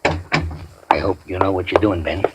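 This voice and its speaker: An actor playing a wary gangster henchman in a 1940s radio drama, blunt and deliberate, loyal with a threat underneath. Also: low voice, fairly even intonation, heavy background noise.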